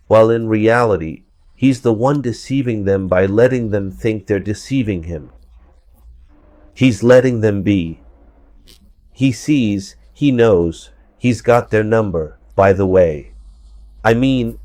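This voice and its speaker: A man with a hoarse voice from a cold, giving verse-by-verse scripture commentary and repeating points for emphasis. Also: on the low side, a little mellow, dry recording. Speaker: religious commentator.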